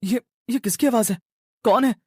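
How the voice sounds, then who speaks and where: A man's voice: extremely high pitch, moderate pace, darkish tone, husky, no room sound, clean studio sound. A man playing a scared, insistent college-age son in a horror story, anxious and stammering. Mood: frightened.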